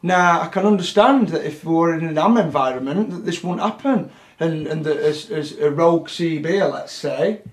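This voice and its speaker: A man with a fairly high voice speaks bluntly and with passion, circling back over his words. He sounds a little off-mic in an echoey room. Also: normal speed. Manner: blunt, passionate, repeats himself. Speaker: CB radio operator. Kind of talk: casual interview.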